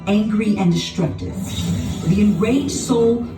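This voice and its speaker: A woman narrating a children's storybook in an expressive, dramatic scripted style. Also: mid-range voice, a little slow. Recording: roomy, distant sound with music behind.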